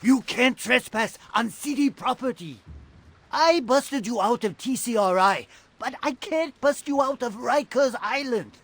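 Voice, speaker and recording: Fussy cartoon game rat father: high, gravelly, protective, fretful with dry sarcasm. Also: a little slow, no echo, full character voice. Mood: fretful, exasperated. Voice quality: raspy, gravelly. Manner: animated, fretful, dryly sarcastic. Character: fussy, protective middle-aged rat father of turtle sons. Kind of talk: animated video game cutscene acting.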